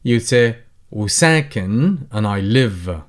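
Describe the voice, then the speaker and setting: A man who runs a language channel. He teaches beginners, reading each phrase aloud, translating it and explaining it slowly. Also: fairly low pitch, expressive. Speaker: Arabic teacher. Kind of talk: slow explanatory tutorial.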